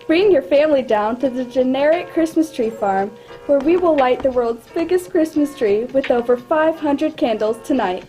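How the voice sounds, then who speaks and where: An old-time radio sponsor announcer, voiced by a woman, reading an inviting scripted advert. The voice is high yet soft-toned, with faint music behind it. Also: lively intonation, moderate pace, rough recording.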